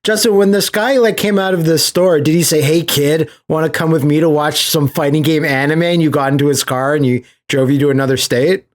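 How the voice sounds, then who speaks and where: Man chatting casually with a slightly high voice. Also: steady tone, fairly quick, few pauses. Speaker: podcast host. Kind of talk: casual podcast chat.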